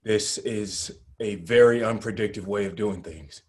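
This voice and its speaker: A man acting in a script read as a rattled, foul-mouthed leader of a trapped group, speaking in a halting, urgent way. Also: fairly low pitch, noisy background, natural voice. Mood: tense. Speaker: actor in a screenplay table read. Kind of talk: acted script reading.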